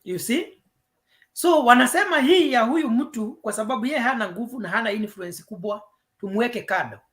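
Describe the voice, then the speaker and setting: A woman's voice: fairly high pitch, moderate pace. A Kenyan woman with a Kenyan accent in an earnest political discussion, mixing English and Swahili. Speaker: panelist.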